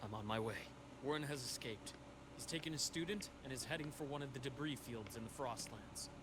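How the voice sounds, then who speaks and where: Guy acting as calm clipped doctor hero from a game, mid pitch, loud noise all over it. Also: fairly quick, natural voice. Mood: calm, businesslike. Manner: formal, clipped, controlled. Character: composed, resolute male doctor and combatant. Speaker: game character voice actor. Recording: very noisy game stream capture.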